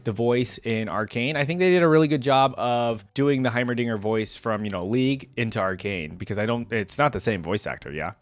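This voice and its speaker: A man giving casual reaction commentary as a host, talking in an animated, conversational way. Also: medium pitch, a little fast, few pauses, no echo.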